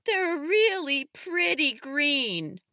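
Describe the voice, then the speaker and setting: A woman's voice: high voice, very animated, slow pace, pushed and bright, no room sound, clean studio sound, pitched above the performer's own voice, full character voice. A woman voicing a distraught young boy with a sobbing, wailing delivery in an audiobook. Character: young boy, distraught, tearful over an injured loved one.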